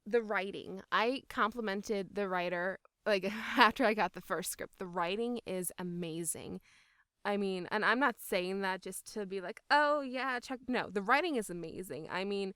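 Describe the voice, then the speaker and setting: A woman's voice: medium pitch, moderate pace, sharp tone, clear, resonant tone, dry recording, studio quality. A young woman chatting casually as an interview guest, talking in an enthusiastic, emphatic way. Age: young adult. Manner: enthusiastic, emphatic, laughing as she talks. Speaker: voice actor interviewee.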